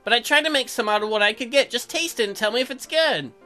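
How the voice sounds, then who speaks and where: A woman's voice: on the high side, sharp tone. A woman voicing an earnest, apologetic young newlywed husband who is sweet on his wife, reading visual novel dialogue. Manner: earnest, halting, self-deprecating. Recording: music behind the voice.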